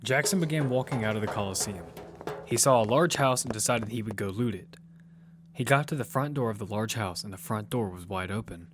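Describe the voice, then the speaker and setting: A man with a fairly low voice narrates audio fiction from a script. Music plays clearly behind him. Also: fairly quick.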